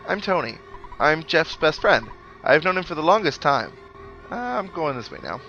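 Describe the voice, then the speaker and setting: A man's voice: fairly high pitch, very animated, normal speed, sharp tone, lo-fi sound, voice pushed up, full character voice. A man voicing a gushing, devoted boy best friend from a video game, full of exclamations. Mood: overjoyed, gushing. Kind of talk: video game dialogue read aloud in character. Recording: game music behind.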